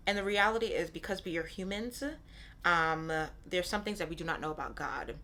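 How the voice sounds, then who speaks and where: A woman's voice: fairly low pitch, brisk, pushed and bright. A woman in her thirties, born in Nigeria and raised in Brooklyn, hosting her own podcast in a candid, casual way, often repeating herself and adding "right?". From born in Nigeria, raised in Brooklyn, New York. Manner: candid, repeats phrases, frequent "right?" asides. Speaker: podcast host. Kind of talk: casual solo podcast talk.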